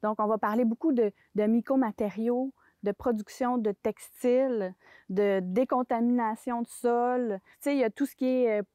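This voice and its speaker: A Québécois woman explains a whole industry sector in an interview, stopping often for fillers and restarting her sentences. Her voice sits a little high. The sound is close and dry, with almost no room around it. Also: steady tone, moderate pace, warm tone, no echo. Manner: hesitant, many fillers and restarts. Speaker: mycology sector expert.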